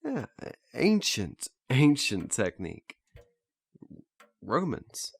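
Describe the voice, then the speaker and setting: A man's voice: medium pitch, huge pitch swings, slow pace, gravelly, slightly rough, exaggerated voice. A man playing an earnest, flustered learner in a naturalistic audio drama, hesitant and stammering as he restarts his phrases. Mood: earnest, explaining. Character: earnest, flustered male learner eager to master memory techniques.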